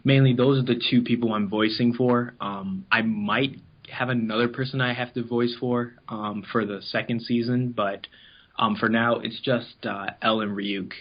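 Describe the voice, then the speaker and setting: A man's voice: mid-range voice, fairly even intonation, moderate pace, dull audio. A man chatting casually as an interview guest, telling anecdotes in a rambling, informal way and acting out what people said. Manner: rambling, informal, acts out quoted remarks. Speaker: interview guest, amateur voice actor in an abridged anime series.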